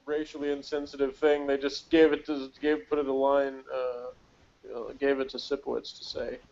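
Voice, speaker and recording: A man's voice: mid-range voice, little pitch movement, moderate pace, vocal fry, a little mellow. Rambling, jokey man, dull lo-fi sound. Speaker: podcast reviewer. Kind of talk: casual chat. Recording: dull, lo-fi voice recording.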